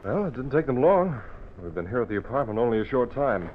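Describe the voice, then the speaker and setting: An actor playing a brisk, commanding newspaper publisher and crime fighter, crisp and authoritative, in old-time radio style. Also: male voice, medium pitch, big pitch swings, lightly acted. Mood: composed explaining. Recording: muffled, noisy old radio recording.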